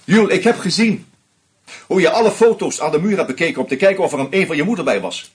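An actor playing a shrewd screenwriter who methodically unmasks a killer, with a husky voice and dry irony. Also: male voice, fairly high pitch, animated, fast. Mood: accusing. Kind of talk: radio play acting.

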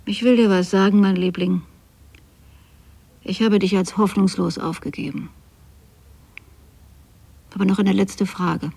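A middle-aged woman acting a bitter, reproachful mother in a radio drama, speaking precisely and theatrically in a husky, creaky voice. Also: medium pitch, warm tone, full character voice. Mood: cold, hurt.

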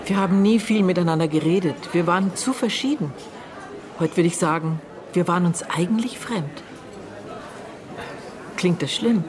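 A woman acting as a guarded middle-aged sister speaks in a slightly low, measured voice. Her radio drama dialogue is reflective and restrained, with a faint murmur of restaurant diners behind her. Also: a crowd in the background, natural voice. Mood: reflective, detached. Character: reserved middle-aged woman, estranged sister recalling family tragedy. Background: busy restaurant.

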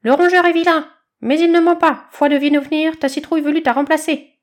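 Woman acting young male eaglet, shrill rattly cackle, raised pitch, boastful, pushy, storybook creature. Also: fairly even intonation, a little fast, close to an everyday voice. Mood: insistent. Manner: insistent, proud, cackling. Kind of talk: children's animal storybook.

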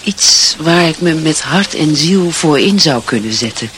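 An earnest provincial wife and mother in a radio play. The voice is fairly high, sincere and hesitant, searching for words over faint background ambience. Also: animated, measured pace, fairly bright tone, slight background noise. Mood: wistful, confiding. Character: earnest, dutiful wife and mother yearning for purpose. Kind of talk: radio drama acting.

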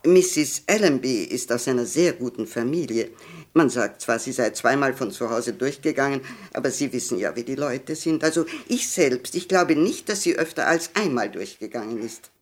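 A woman's voice: rumbling low, lively intonation, normal speed. An older actress playing a snobbish, gossiping old English society lady in a 1960s German radio play, condescending and rambling. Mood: condescending, gossipy.

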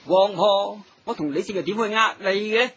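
High, husky, fawning con man. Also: moderate pace, a bit bright. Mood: coaxing. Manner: coaxing, ingratiating. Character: sly male accomplice, fawning smooth-talking con man. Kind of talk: Cantonese radio drama acting.

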